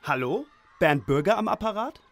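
A man's voice: sits high, animated, normal speed, a bit bright, no room sound, full character voice. A man playing a naive, over-earnest crossing-guard hero in a comic audio drama. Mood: eager, dutiful.

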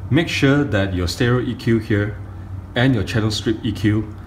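A middle-aged man giving a step-by-step tutorial on audio gear, speaking deliberately in short phrases with pauses. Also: fairly low pitch. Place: indoor carpeted room. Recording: faint room noise, phone camera audio.

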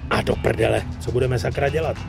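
An actor playing a brash, hot-headed, vengeful gangster in a dubbed game, crude and swaggering. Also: male voice, mid-range voice, steady tone, creaky, over music. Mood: worried. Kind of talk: dramatic game dub acting.

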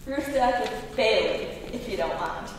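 A woman's voice: on the high side, expressive, measured pace, slight background noise. A woman acting a poised, teasing young bride in a staged old-time radio play. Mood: playful. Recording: echoey hall from far off, audience.

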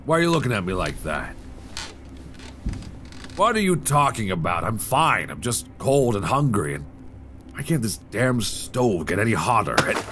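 A man's voice: lowish voice, very animated, noisy background. A man playing a shivering, defensive stranger in a horror audio roleplay, stammering and sighing as he talks. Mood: evasive, confused. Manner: halting, stammering, breathy sighs. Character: shivering, defensive man, secretly an impostor creature. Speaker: voice actor. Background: music.

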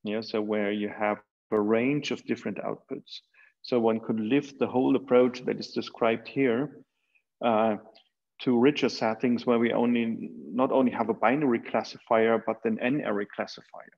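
A middle-aged man giving an academic keynote over a video call, measured and full of fillers and restarts. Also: mid-range voice, steady tone, creaky. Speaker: keynote speaker. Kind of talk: academic conference talk. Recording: dull lo-fi video call audio.

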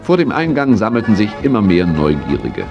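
A man's voice: lowish voice, wildly swooping pitch, a little fast, vocal fry, muffled recording, music in the background, exaggerated voice. A man acting as the narrator of a children's radio play, telling the tale in scripted storytelling style. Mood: cheerful.

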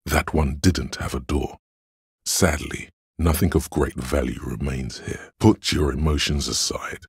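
An actor playing a detective's inner voice of logic in a video game, a grave, analytical man with a gravelly rasp and a deliberate, dry, detached delivery. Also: very deep voice, steady tone, dry recording, exaggerated voice. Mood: cool, matter-of-fact.

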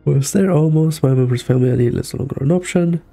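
A man in his thirties gives an offhand game reaction, reading along as he plays. His voice is very dark and relaxed with heavy fry, and soft game music sits behind him. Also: a little high, fast. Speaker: streamer. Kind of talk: casual game reaction, reading on-screen text aloud. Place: small indoor room.

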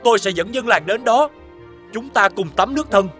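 A man voicing a brave, resolute young village hero in an animated fairy tale, earnest and rallying. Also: sits high, moderate pace, soft background music.

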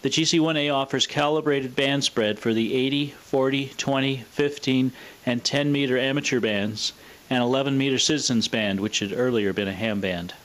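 A man presenting a scripted, explanatory product demonstration in a measured, matter-of-fact way. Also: medium pitch, a bit bright. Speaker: presenter of a vintage radio showcase video.

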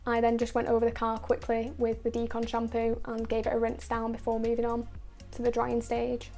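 A woman narrating a car detailing video in a steady, explanatory voice-over, going through each step and product methodically. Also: fairly high pitch, brisk, faint music behind. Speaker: car detailing channel host.

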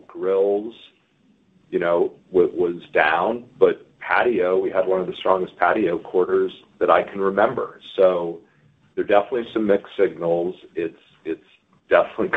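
A man answering questions as a company executive on an earnings call, speaking in a halting, deliberative way. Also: low voice. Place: conference call. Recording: muffled conference phone line.

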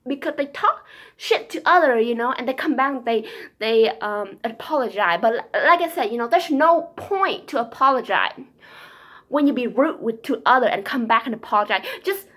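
Higher-voiced young Vietnamese woman vlogging. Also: a bit bright. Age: young adult. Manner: chatty, rambling, filler-heavy. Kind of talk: casual shopping haul chat. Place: small quiet room at home.

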